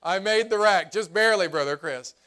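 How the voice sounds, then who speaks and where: A man preaching a sermon as pastor, his voice hoarse and fading, earnest, slowing down for emphasis. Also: high voice, pushed and bright. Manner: earnest preaching, slows and drops to emphasize points.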